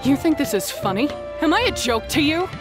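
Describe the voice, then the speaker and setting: Game antagonist: brittle, stern young woman, sharp-tongued, fairly high, music behind. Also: very animated, fairly quick. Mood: indignant, cold. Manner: curt, confrontational. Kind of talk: video game voice acting. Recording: music under the voice.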